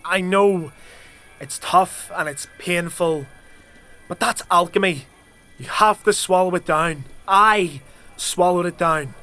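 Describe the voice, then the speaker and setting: An actor playing a fanatical alchemist twisted by dark blood rites, preaching fervently in an unhinged manner in an audio drama. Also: male voice, high-pitched, slow pace, exaggerated voice. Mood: fervent, insistent. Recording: music behind.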